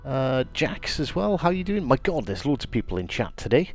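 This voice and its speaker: A man's voice: medium pitch, big pitch swings, moderate pace, soft background music. A man, a little congested from a cold, chatting casually as he streams a game and greets viewers by name. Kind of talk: casual live-stream commentary.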